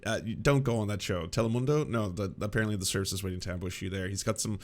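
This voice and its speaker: A man's voice: lowish voice, racing, darkish tone. A man hosting a live stream, reacting to clips with sarcastic, rambling political commentary. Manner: sarcastic, mocking, rambling with fillers.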